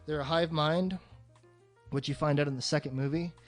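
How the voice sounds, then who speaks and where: A man's voice: fairly high pitch, fairly even intonation, moderate pace, faint music behind. A man hosting a podcast in a casual, rambling movie discussion, swearing freely and sounding enthusiastic. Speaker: podcast host.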